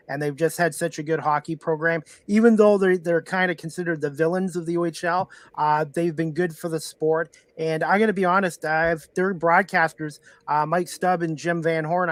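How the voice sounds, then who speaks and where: A man's voice: a little high, no room sound. A man, hosting a casual sports chat podcast. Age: 40s. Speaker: podcast host.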